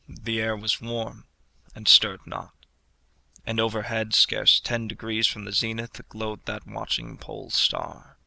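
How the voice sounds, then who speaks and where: Gravelly, creaky man narrating solemn fiction. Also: on the low side, bright, forceful tone, no room sound. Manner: solemn, measured, dramatic storytelling. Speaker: audiobook reader. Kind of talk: scripted literary narration.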